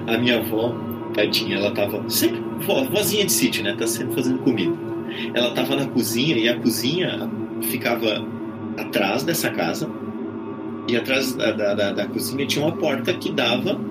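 A man's voice: mid-range voice, expressive, normal speed, poor audio. Brazilian man storytelling over prominent music. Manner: colloquial, acts out his own quoted reactions. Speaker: podcast guest. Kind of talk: animated casual personal storytelling. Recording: music playing behind the voice.

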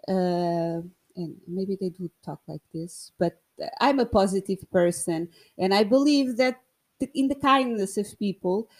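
Woman with a Portuguese accent, unhurried, mid-pitched; wry, self-mocking storyteller who often restarts. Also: darkish tone. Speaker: vlogger. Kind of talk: casual storytelling to camera.

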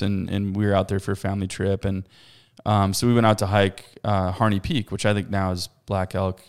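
A loud man in his thirties, talking easily as a podcast guest. His low voice has a gravelly edge but stays smooth and full. He rambles warmly through his stories, full of fillers. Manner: loud, laughs often, rambling with many fillers. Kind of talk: casual chat.

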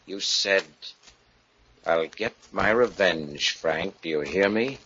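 Tormented radio-thriller husband, husky and intense. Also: male voice, deep voice, bright, forceful tone, heavily acted. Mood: accusing. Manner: intense, dramatic, deliberate phrasing. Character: tormented professor husband, obsessed and bewildered, still in love. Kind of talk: 1940s radio thriller acting. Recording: dull, muffled old radio recording.

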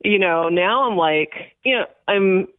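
A woman's voice: medium pitch, lively intonation, measured pace, dry recording. A woman in her forties whose first language is Hebrew speaks over a tinny phone line. She talks earnestly and steadily, leaning on repeated phrases for emphasis. The sound is dry, with no room around it. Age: 40s. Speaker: political candidate, guest. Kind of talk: phone interview on radio.

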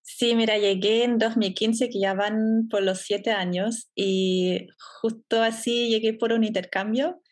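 A German woman in her thirties, guest on a podcast interview, talking about her business in a relaxed way and laughing easily. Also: fairly high pitch, unhurried, few pauses. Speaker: entrepreneur guest.

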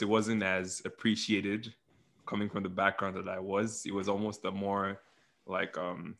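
A man's voice: low voice, a bit bright. A young man from Nigeria, telling his story casually as an interview guest.

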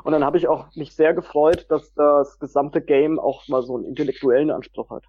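A man's voice: a little high, fairly even intonation, brisk, breathy edge, lo-fi sound. A man chatting casually in a blunt, playfully exaggerating way. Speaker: podcast participant. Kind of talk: casual chat.

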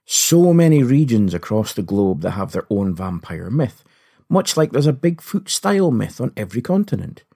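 Husky, theatrical man hosting a podcast. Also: mid-range voice, big pitch swings, normal speed, warm tone. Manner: theatrical, punning, playful. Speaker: podcast host. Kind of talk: scripted comedic narration. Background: faint music.

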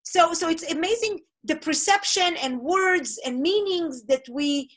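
A woman's voice: very high voice, a little slow, noisy background. A middle-aged woman from Israel whose first language is Hebrew, hosting an interview and telling her own stories expressively. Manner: animated, expressive storyteller. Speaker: host. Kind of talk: conversational interview.

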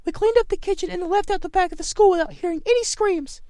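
A man's voice: very high voice, fast, darkish tone, dry recording, clean studio sound, pitched above the performer's own voice. A man voicing a defensive female school lunch lady in an indignant, emphatic way for a story.

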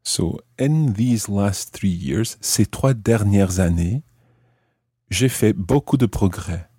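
A man hosting a language lesson, explaining grammar step by step with deliberate, teacherly phrasing and switching into French examples. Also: on the low side, expressive, warm tone, slightly rough, no room sound, studio quality.

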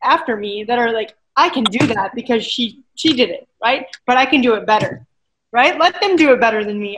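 A woman who works as an adaptive fitness coach, talking in a casual interview with animated emphasis and a habit of checking in with "right?". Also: sits high, rough recording.

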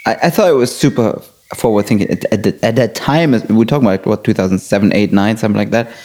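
A man born in Germany, chatting casually and enthusiastically and giving quick affirmations. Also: on the low side, a little mellow. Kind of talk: casual conversation.

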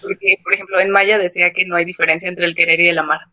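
A woman's voice: fairly low pitch, flat delivery, fast, no echo, dull audio. A woman, a guest in a casual chat, chatty and peppering her talk with fillers. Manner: chatty, lots of fillers like ajá.

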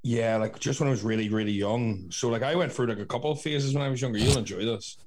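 A man with a Northern Irish accent in casual podcast chat, telling anecdotes in an animated, sweary way. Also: medium pitch, fast. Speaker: podcast host.

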